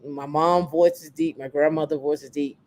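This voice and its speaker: A woman with a naturally deep voice, hosting a casual podcast chat and repeating her points for emphasis. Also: steady tone, moderate pace, darkish tone, a little hiss or hum. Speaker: podcast host.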